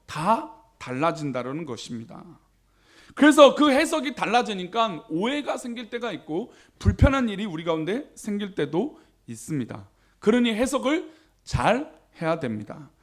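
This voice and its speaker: Man, pastor, sermon, high voice, animated pitch, chatty with the congregation. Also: normal speed. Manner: conversational, asks the congregation questions.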